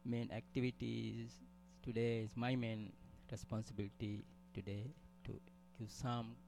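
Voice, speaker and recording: A man's voice: medium pitch, little pitch movement, a little slow, creaky, mellow, slight background noise. A man from Sri Lanka giving a conference presentation, hesitant, with many fillers and restarts. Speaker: conference speaker.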